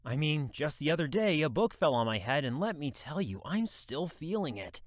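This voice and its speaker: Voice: mid-range voice, big pitch swings, normal speed, no room sound, clean studio sound. A voice actor playing a friendly, eager, dim-witted male police officer in a horror audio drama. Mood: earnest, silly. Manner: eager, folksy, bumbling.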